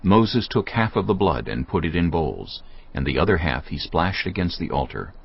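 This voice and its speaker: A male narrator reads scripture in a deep, measured voice. His delivery rises and falls expressively. Music sits behind the slightly dull recording. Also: sing-song. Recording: dull studio sound over clear music.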